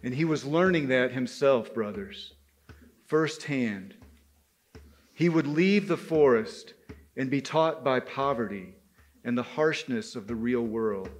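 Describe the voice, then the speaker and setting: A man telling a story to a live gathering in a deliberate, dramatic way, with pauses, as the leader of the group. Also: medium pitch. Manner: deliberate, pausing, addresses listeners as brothers. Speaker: storyteller leading a men's spirituality gathering.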